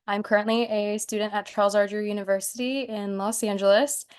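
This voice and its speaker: A woman's voice: medium pitch, little pitch movement, a little fast, clear, resonant tone. A young woman in her twenties, born in Mexico, giving an encouraging, casual presentation on a video call with fillers. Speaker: PA student speaker. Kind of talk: online workshop presentation.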